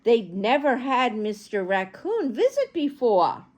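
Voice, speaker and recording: A woman storyteller reading a children's storybook aloud in an animated way, with chatty asides to young listeners. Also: on the high side, a little slow.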